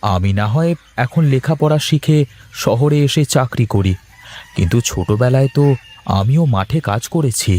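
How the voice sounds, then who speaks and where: A man's voice: medium pitch, expressive, normal speed, mellow, lightly acted. Man acting a weary, grumbling married office worker. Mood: worried. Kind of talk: acted cartoon dialogue.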